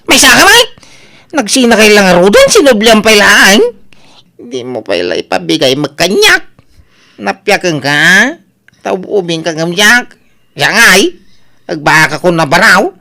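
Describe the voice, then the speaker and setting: An actor playing a jilted older brother whose bright, piercing voice swings wildly up and down. He is heartbroken and whiny, wailing through an exaggerated comedy drama. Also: mid-range voice, huge pitch swings, measured pace, gravelly, long pauses, exaggerated voice. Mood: bitter anger.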